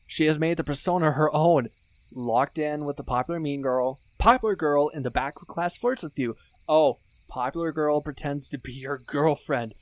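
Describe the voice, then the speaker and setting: A man playing an excitable, sentimental best friend in a casual ASMR roleplay, speaking effusively with mock-serious exaggeration. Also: on the high side, natural voice. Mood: admiring. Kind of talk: ASMR roleplay acting, casual one-sided chat.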